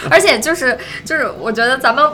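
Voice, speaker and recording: A woman's voice: sits high, fast talker, bright, forceful tone, soft background music. A woman hosting a casual chat, reading out questions in a bubbly, chatty way and readily agreeing with the others. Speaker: host reading listener questions.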